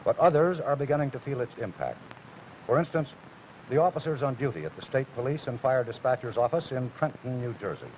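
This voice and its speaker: Male TV drama narrator, measured and dramatic, medium pitch, lively contours, dull noisy audio. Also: close to an everyday voice. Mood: grave, measured. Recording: muffled, noisy old TV soundtrack.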